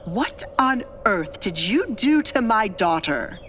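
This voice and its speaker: A woman's voice: mid-range voice, very animated, slow, a bit bright. A woman voicing an angry, haughty middle-aged stepmother in an audio drama, indignant and scornful, with light background noise.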